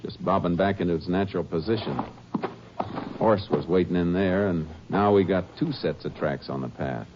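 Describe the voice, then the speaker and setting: An actor playing a steady, shrewd Texas Ranger in a 1950s radio drama, speaking in terse, commanding phrases. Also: male voice, low voice, moderate pace, lightly acted. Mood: steady, wary. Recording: noisy, muffled old-time radio recording.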